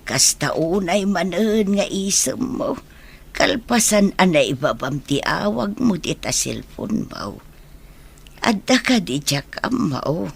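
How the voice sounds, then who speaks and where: A woman with an Ilocano accent voicing a teasing old grandmother in an audio drama, creaky and rough. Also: lowish voice, sing-song, slow pace, heavily acted.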